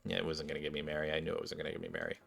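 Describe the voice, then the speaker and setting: A man's voice: rumbling low, monotone, racing, gravelly, a bit bright, husky. A man hosting a gaming video, giving casual, chatty commentary addressed straight to his viewers. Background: game music.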